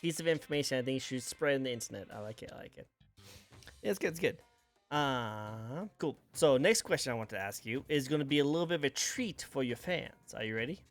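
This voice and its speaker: A man hosting an interview in a casual, enthusiastic way, with quick interjections. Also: mid-range voice, lively intonation, faint music behind. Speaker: interview host.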